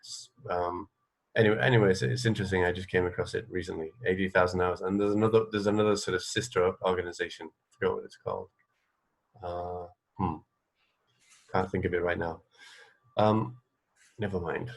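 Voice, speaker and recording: Low-voiced man, halting interviewer. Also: fairly even intonation, creaky. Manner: tentative, halting, thinking aloud. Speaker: radio interview host. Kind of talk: casual unscripted interview.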